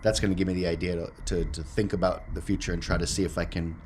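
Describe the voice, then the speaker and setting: A man with a very deep voice talks casually as a player in a tabletop game. Clear noise runs through the recording. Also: steady tone, fast talker, darkish tone. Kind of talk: casual roleplay chat.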